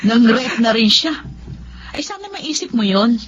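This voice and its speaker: A woman's voice: a little high, very animated, measured pace, slight background noise. A woman with a Filipino accent playing a blunt, scolding neighbour and friend in a Tagalog radio drama. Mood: blunt, reproachful. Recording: dull lo-fi radio drama sound.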